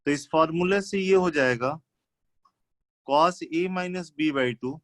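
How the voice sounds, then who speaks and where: A man's voice: a little high, takes it slowly, a bit bright, dry recording, clean studio sound. A man teaching maths live, working through problems step by step in an instructional way and mixing in English technical terms. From India.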